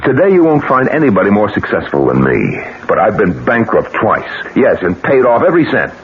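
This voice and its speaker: A man's voice: fairly low pitch, huge pitch swings, fairly quick. An actor in a 1970s American radio drama playing a determined, grieving self-made businessman, emphatic and pressing with rhetorical questions. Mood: defiant, forceful. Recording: noisy, muffled 1970s radio broadcast recording.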